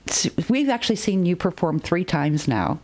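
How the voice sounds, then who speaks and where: Low-voiced woman interviewer. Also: big pitch swings, normal speed, darkish tone, poor audio. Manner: laughs often, hesitant fillers. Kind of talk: casual interview chat.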